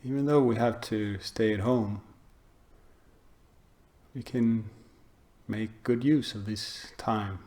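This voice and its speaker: A man guiding a meditation, speaking in short phrases with pauses between them. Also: fairly low pitch, warm tone. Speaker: meditation teacher. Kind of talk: guided meditation talk.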